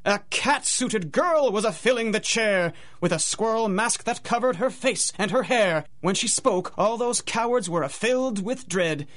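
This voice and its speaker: A man's voice: sits high, expressive, a little slow, hardly any pauses, a bit bright. A man narrating a radio drama in theatrical, sing-song rhyming storybook verse.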